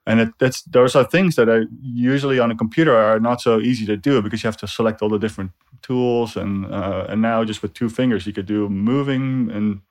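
A man's voice: fairly low pitch, fairly even intonation, fairly quick, hardly any pauses. A man being interviewed as a designer, recalling past work in a casual, informal, loosely phrased way. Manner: informal, loosely phrased, often quoting dialogue. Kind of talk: casual spoken recollection.

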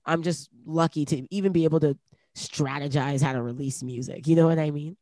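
A woman's voice: sits low, brisk, dark tone, no room sound. Reflective woman rapper, dry close sound. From Canada. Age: late 20s. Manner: reflective, lots of "like" fillers, laughs easily. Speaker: rapper guest. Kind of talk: casual interview chat.

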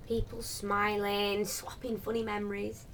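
A woman playing a meek, doubtful funeral assistant in a comic audio drama, deadpan and hesitant. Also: mid-range voice, measured pace, a little hiss or hum, poor audio. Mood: doubtful, wistful.

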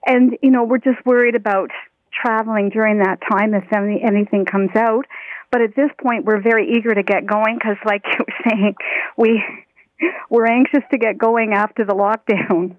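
Lady caller on a tinny phone line, a bit high, chatty. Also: moderate pace, no echo. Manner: chatty, steady telling. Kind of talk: radio phone-in call.

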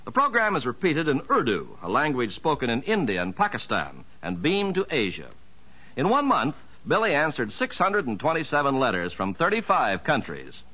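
A man's voice: medium pitch, wildly swooping pitch, normal speed, pushed and bright. An American man announcing on 1950s radio, reading a script in a polished, formal delivery. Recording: dull vintage 1950s radio recording.

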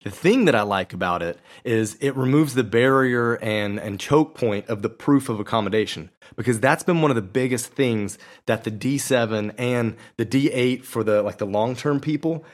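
A man's voice: medium pitch, normal speed, few pauses. A man co-hosting a podcast in a casual, conversational style. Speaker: podcast co-host. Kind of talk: casual podcast chat and sponsor reads.

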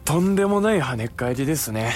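Man playing a level-headed, expressive younger samurai brother. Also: medium pitch, quick pace, soft background music, lightly acted. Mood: resigned acceptance. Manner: polite, admonishing, formal. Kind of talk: Japanese period audio drama acting.

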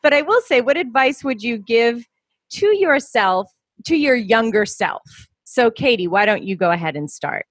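Female podcast host, fairly high voice, conversational interviewing, dry close sound. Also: very animated, normal speed, dry recording.

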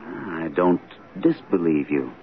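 An actor playing a concerned, patient father in a radio drama, speaking earnestly, gently and deliberately. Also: deep voice, animated. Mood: gentle, reassuring. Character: concerned, patient father, imaginative and open-minded. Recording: tinny, noisy old radio broadcast.

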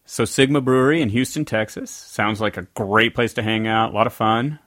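A low-pitched man from the American South co-hosts a casual podcast. His delivery is rambling and conversational, full of restarts and asides. The recording is dry and close. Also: steady tone, brisk, fairly bright tone, no echo. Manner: rambling, self-correcting storyteller. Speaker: podcast co-host.

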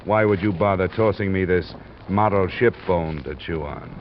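An actor with an American accent playing a hard-boiled, wry private investigator in clipped, deadpan old radio style. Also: male voice, low voice, moderate pace, soft background music, close to an everyday voice. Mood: skeptical, probing. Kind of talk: 1940s-50s radio detective acting and narration. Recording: muffled, noisy old radio recording.

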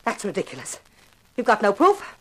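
An actress playing a defiant, scheming murderess in a 1950s radio crime drama, with a clipped, melodramatic delivery. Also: female voice, medium pitch, huge pitch swings, moderate pace. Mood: indignant, defiant. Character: defiant, scheming female murderess denying her guilt.

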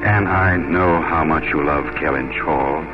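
An actor playing a gallant, formal naval captain, intense and romantic, in a 1950s radio drama. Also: male voice, rumbling low, measured pace. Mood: ardent. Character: ardent, gallant English naval captain in love. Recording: dull old radio, music behind.

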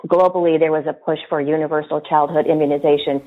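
A woman's voice: deep voice, level tone, quick pace, background noise. A woman directing a health program, explaining in an interview with small restarts. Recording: tinny phone-like line.

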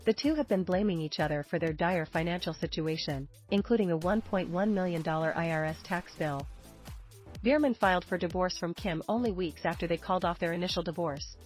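A woman serves as narrator for a celebrity news report, reading from a script in tabloid style. Her pitch sits somewhat low, and soft music plays behind her. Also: a little fast. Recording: music bed under the voice. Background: background music.

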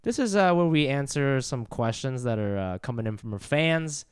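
A man's voice: mid-range voice, animated, moderate pace, clear, resonant tone, no echo, studio quality. A man co-hosting a podcast in casual, joking chat, laughing often. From Japan. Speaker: podcast co-host.

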